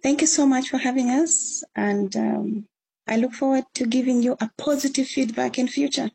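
A woman's voice: sits high, steady tone, normal speed, vocal fry, a little mellow, husky, low-quality recording. A woman from northern Uganda with a Ugandan accent, answering interview questions in an earnest, encouraging way. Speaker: returnee guest.

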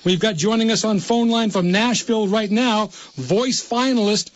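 High-voiced man hosting a radio chat. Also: normal speed, no echo. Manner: rambling, stumbles into repeated words. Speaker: radio host. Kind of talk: live radio interview.

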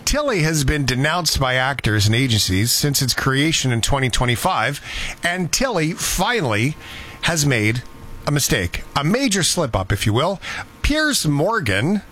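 A man's voice: fairly high pitch, sing-song, unhurried, fairly bright tone. A man hosting a show, telling news stories in a casual, conversational way with incredulous rhetorical questions. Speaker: radio show host.

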